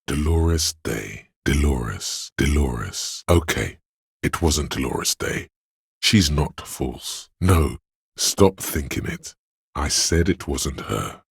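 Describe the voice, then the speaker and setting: A man voicing a grim, goading inner voice of pain and endurance in a video game, narrating with slow, weighty, intense delivery and a husky, gravelly tone. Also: a bass voice, dry recording, clean studio sound, full character voice. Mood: anguished, reverent.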